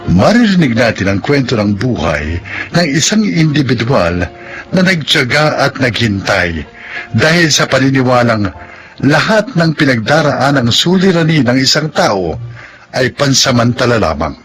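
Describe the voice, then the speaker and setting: A man hosting a radio drama, reading scripted narration and credits in a formal, measured announcer manner. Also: mid-range voice, big pitch swings, a bit bright, soft background music.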